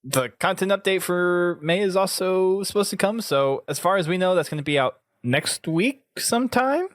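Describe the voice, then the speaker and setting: A man's voice: high voice, animated, normal speed, no room sound, studio quality. A man chatting casually as the host of a gaming podcast.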